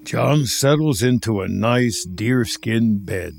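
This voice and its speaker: A man narrating a film script in the present tense with measured, descriptive delivery over ambient sound. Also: medium pitch, expressive, vocal fry.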